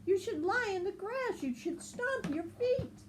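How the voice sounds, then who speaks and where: A woman's voice: extremely high pitch, expressive, unhurried, very noisy, pitched above the performer's own voice. A woman voicing cheerful, curious animals in a storybook, eager and sing-song as they offer friendly advice.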